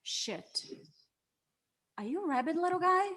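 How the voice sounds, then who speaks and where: Plucky young woman played by an actress, high-pitched, wry, casual, drama table read. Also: very animated, a little slow, fairly bright tone, heavily acted. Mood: startled. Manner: wry, sweary.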